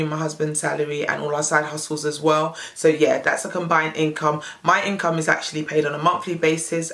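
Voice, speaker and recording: British woman vlogger, voice husky and on the mend, friendly rambling delivery. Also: low voice, a bit bright. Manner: chatty, upbeat, strings thoughts with "so". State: husky, voice recovering from loss. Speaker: personal finance video creator. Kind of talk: casual budget walkthrough to camera.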